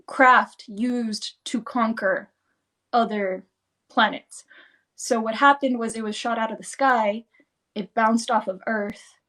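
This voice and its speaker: Higher-voiced woman, rambling chat. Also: a bit bright. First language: Spanish. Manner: fast, rambling explanations with many "like"s. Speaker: guest. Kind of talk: casual livestream chat.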